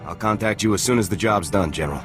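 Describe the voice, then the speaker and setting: Guy voicing a tight-lipped soldier, lowish voice, talks quick, faint music. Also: natural voice. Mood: dutiful. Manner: terse, dutiful. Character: disciplined, tight-lipped male army lieutenant. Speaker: army lieutenant.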